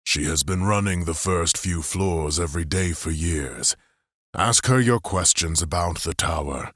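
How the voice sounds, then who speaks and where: A male narrator voicing a huge, stoic, weary armored leader who speaks in a grave, measured way. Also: deep voice, a bit bright, no room sound, studio quality.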